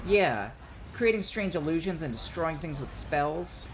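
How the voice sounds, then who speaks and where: Anxious townsperson for a visual novel, done by a man; raised, lively pitch, dramatic delivery. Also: measured pace, slight background noise, poor audio. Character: nervous townsperson fearful of wizard gang retribution. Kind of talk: visual novel character reading.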